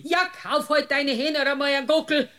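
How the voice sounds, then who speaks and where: A woman's voice: high-pitched, fairly even intonation, a little fast, fairly bright tone. A woman playing an indignant, scolding old farmer's wife with a rustic Bavarian dialect in a comic radio drama. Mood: defiant, scolding.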